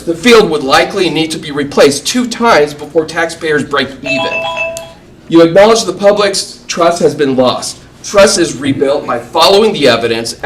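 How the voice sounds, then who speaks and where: A man giving a prepared, critical public comment at a school board meeting, in a pointed, measured delivery. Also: a little high, a bit bright. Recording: distant mic, faint room noise.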